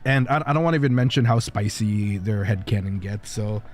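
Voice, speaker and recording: A man's voice: on the low side, brisk. A male streamer chatting casually, rambling with lots of fillers and frequent laughter. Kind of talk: casual livestream chat. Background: faint background music.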